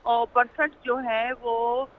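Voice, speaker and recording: Tinny phone-line voice, high-pitched Pakistani woman. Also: flat delivery, a little hiss or hum. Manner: halting, with repeated filler phrases. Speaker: politician, panel guest. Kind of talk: political argument on a talk show.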